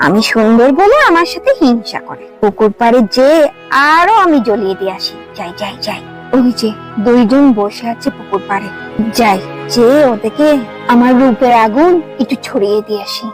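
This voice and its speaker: A woman's voice: on the high side, lively intonation, moderate pace, soft background music, natural voice. A woman playing a vain, lazy young village wife in a cartoon, boastful, complaining and quarrelsome. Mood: gloating, vain. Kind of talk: cartoon voice acting.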